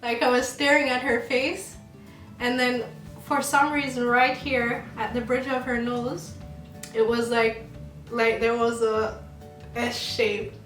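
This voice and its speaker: A young woman from Jamaica co-hosting a casual chat, slow and laughing often. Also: on the high side, little pitch movement, fairly bright tone. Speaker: channel co-host. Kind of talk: casual get-to-know-me chat. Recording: roomy sound, mic at a distance, music behind.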